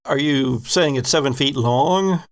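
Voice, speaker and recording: A man acting as game master of a tabletop roleplaying game, calling for rolls and describing what the players see in a steady, directive way. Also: medium pitch, a little slow.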